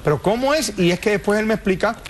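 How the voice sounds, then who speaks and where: An older man hosting a TV talk show, asking quick teasing questions on a studio set. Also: sits high, a little hiss or hum. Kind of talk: casual TV studio chat.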